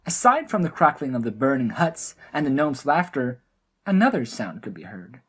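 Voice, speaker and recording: A woman narrates a scripted fantasy tale. Her voice is very low and husky, moving expressively up and down as she tells the story. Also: big pitch swings, moderate pace.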